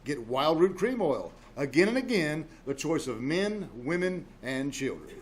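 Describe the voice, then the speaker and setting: High-pitched, emphatic 1940s radio pitchman, acted by a man. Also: sing-song, a little slow, a little hiss or hum. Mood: upbeat selling. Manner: emphatic, salesmanlike. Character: earnest, upbeat 1940s male radio announcer selling hair tonic. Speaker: announcer. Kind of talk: 1940s radio commercial reading. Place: small-town theater stage.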